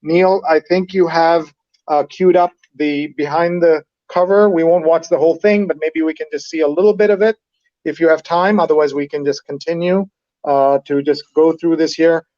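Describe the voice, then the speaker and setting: A man's voice: on the high side, fairly even intonation, moderate pace, dark tone, no room sound, muffled recording. A man hosting a live read-along, chatting enthusiastically and hopping between asides as he reads out and comments. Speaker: host of a live newspaper read-along show.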